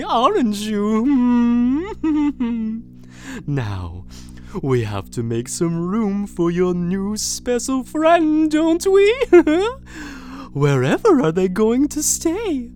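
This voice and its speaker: Brief: a woman as a doting, dangerous captor lady, high and swooping voice, slow honeyed delivery. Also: mellow, heavily acted. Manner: syrupy baby talk turning to shrill screaming fits. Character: sugary unstable female captor, sweet yet menacing. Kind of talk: audio roleplay drama.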